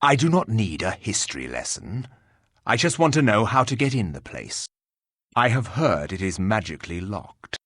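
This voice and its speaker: A terse, impatient warrior from a fantasy game. He is husky and middling in pitch, with emphatic swings in intonation and a dry, close sound. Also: big pitch swings, normal speed, fairly bright tone, no room sound, exaggerated voice. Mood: impatient, annoyed. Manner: blunt, terse, rude. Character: blunt, impatient resurrected warrior, gruff male hero. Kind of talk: fantasy video game dialogue acting.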